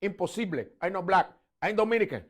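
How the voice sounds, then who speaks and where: High male voice chanting a refrain. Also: lively intonation, fast. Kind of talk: repeated sampled clip.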